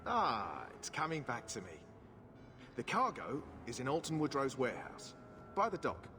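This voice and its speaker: An actor playing a falsely polite, bribe-seeking harbour assistant in a video game. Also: male voice, medium pitch, huge pitch swings, moderate pace, over music. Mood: smug, coy. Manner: mock-apologetic, falsely polite. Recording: reverberant, noisy outdoor ambience.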